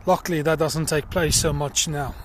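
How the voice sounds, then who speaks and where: A middle-aged man with a South African accent, talking earnestly about himself in a personal vlog while out walking in a field, with many pauses and fillers. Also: on the high side, fairly even intonation, a little hiss or hum. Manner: earnest and reflective, pausing with fillers. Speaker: crowdfunding campaigner. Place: outdoors in a field.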